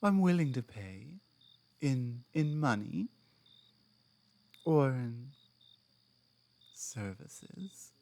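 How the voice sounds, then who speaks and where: A woman playing a strong, blunt orc woman in an ASMR roleplay, speaking in an earnest, halting and deliberate manner. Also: rumbling low, sing-song, warm tone, dry recording, exaggerated voice. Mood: determined, grateful. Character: strong proud female orc laborer, blunt, flirtatious, grateful.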